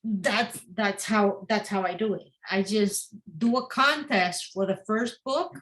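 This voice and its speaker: A woman's voice: medium pitch, a little slow, a bit bright, rough recording. A woman whose first language is not English, a guest author in a casual interview, often repeating and restarting her words.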